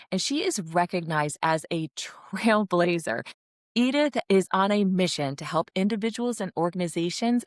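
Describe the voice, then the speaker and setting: A woman's voice: medium pitch, no echo, studio quality. A woman hosting a podcast, reading an enthusiastic introduction of her guest. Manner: enthusiastic, effusive. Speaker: podcast host.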